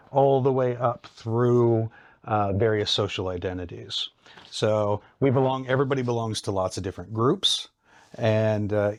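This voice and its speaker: A middle-aged man from Ohio with an American accent, a psychology lecturer explaining ideas in a measured way, often pausing with ums and restarts, speaking over a video call. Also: mid-range voice, darkish tone. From Ohio, United States. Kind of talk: explanatory academic talk.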